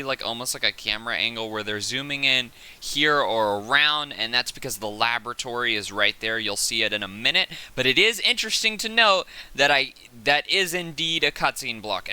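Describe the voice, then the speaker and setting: A man giving casual, unscripted commentary as the host of a game footage breakdown, excitable and often correcting himself. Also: mid-range voice, smooth.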